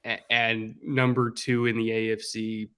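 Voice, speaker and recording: A man's voice: medium pitch, little pitch movement, a little slow, bright, forceful tone, clean tone. A man as a guest analyst on a sports podcast, explaining his opinions confidently and at length. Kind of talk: casual sports analysis chat.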